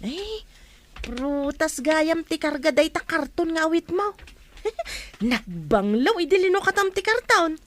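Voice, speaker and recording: A woman's voice: very high-pitched, animated, a little slow, slight background noise. A woman playing a nosy, chatty village neighbour in an Ilocano comedy radio drama, exclaiming and probing. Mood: gossipy.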